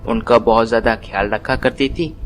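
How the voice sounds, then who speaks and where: A man's voice: mid-range voice, fairly even intonation, normal speed, faint music behind. A man narrating a scripted family moral story as a storyteller.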